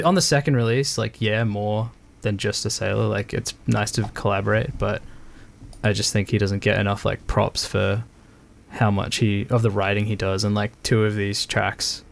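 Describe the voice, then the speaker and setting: A man's voice: fairly low pitch, steady tone, moderate pace, creaky. A young man, a band's drummer, chatting casually in an interview and laughing often, with plenty of slang. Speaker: band drummer.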